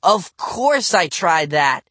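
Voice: mid-range voice, slow, no room sound. A narrator voicing an angry young boy who snaps and demands in a storybook. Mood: annoyed. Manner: snapping, shouting, demanding. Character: exasperated, hot-tempered young boy, usually calm brother.

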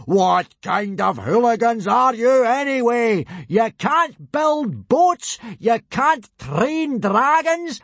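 Angry viking instructor in an audiobook, bellowing way up high, slow scornful roaring, really outraged. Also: male voice, no room sound, exaggerated voice. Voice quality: booming, roaring. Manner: scornful, drawn-out outraged shouting. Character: huge furious bellowing Viking pirate instructor, adult man. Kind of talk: audiobook character voice.

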